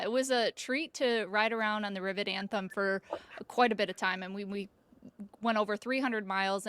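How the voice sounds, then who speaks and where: A woman's voice: mid-range voice, fairly bright tone, no echo. Woman hosting an interview, close dry sound. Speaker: host, video reviewer. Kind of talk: scripted-sounding channel intro and interview questions.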